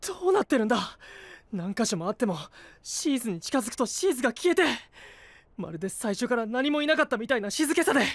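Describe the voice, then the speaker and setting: A man's voice: very high voice, sing-song, fast talker, dry recording. An actor playing an earnest, driven young male knight in an anime voice drama. He talks bluntly and impatiently. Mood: troubled.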